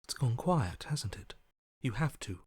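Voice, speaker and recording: An actor playing a wary, primal survivor's inner voice in a video game, speaking in terse, watchful phrases. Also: male voice, medium pitch, animated, a little slow, a little mellow, breathy edge, no echo, studio quality. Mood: grim, watchful. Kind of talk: video game character voice acting.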